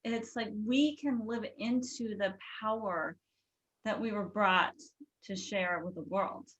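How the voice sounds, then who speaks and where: A woman's voice: mid-range voice, takes it slowly, a bit bright, low-quality recording. A woman hosting a podcast, talking in a gushing, earnest way. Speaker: podcast host. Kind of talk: casual podcast chat.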